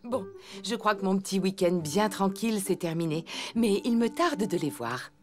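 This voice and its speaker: A woman's voice: medium pitch, animated, moderate pace, soft background music, close to an everyday voice. A woman voicing a caring but bossy elephant mother in a French cartoon.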